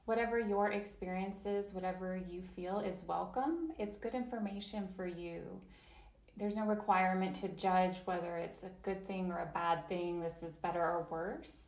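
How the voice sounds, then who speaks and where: A woman leads a gentle guided chair yoga and body scan session, offering every movement as a choice. Her pace is slow and unhurried, with long pauses between phrases, and her voice sits a little low. The recording has a noticeable roomy echo. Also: fairly even intonation. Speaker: voice interpreter for a yoga teacher.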